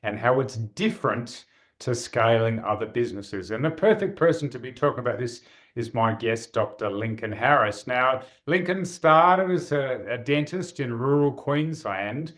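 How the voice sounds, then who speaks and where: A man hosting an interview podcast, asking brisk, upbeat questions. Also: mid-range voice, animated, fairly bright tone. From Australia. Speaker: podcast host.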